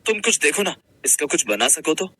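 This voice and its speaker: A man playing a simple, humble working husband, gentle, earnest and a little hesitant, in a Hindi moral-story cartoon. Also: fairly high pitch, big pitch swings, moderate pace, husky, full character voice. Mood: pleased, gentle.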